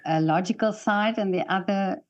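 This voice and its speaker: A woman's voice: mid-range voice, normal speed. A woman hosting an interview over a video call, enthusiastic and encouraging toward her guest. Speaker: interview host.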